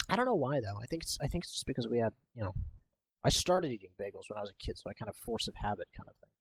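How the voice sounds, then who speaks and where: A man's voice: sing-song, racing, husky. Young man, eighteen, casual podcast chat, rambling, filler words, cheap home mic. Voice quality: deep for his age when slouched. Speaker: podcast guest.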